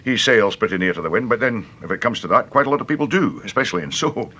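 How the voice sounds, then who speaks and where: Radio drama supporting role: blunt British inspector, hard-edged tone, fast lively delivery. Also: male voice, lowish voice, husky, exaggerated voice. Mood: forthright, approving. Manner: blunt, forthright. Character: blunt, plain-speaking British police inspector. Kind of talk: radio mystery drama acting.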